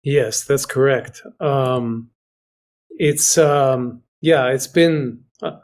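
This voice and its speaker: Halting man recalling things in an interview. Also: medium pitch. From Greece. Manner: hesitant, halting, pausing to find words. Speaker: interview guest, researcher. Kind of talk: conversational recollection. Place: remote internet video call.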